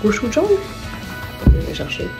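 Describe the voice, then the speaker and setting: A woman's voice: a little fast, over music. A woman vlogging casually at home, chatting and rambling through her shopping haul, often stopping to correct herself and laughing at her own slips.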